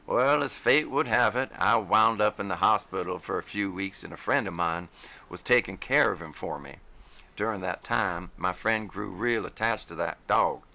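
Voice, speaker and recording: Folksy old-timer with a slow rural drawl, deep and gravelly, put on by a male narrator. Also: sharp tone. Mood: wistful. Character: folksy older man, grieving dog lover.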